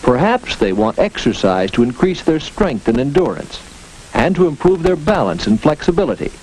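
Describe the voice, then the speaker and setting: A man's voice: a little high, a little mellow. A man narrating a 1940s educational film in an instructive, folksy storytelling way, putting rhetorical questions and asides to the audience. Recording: noisy vintage 1940s film soundtrack.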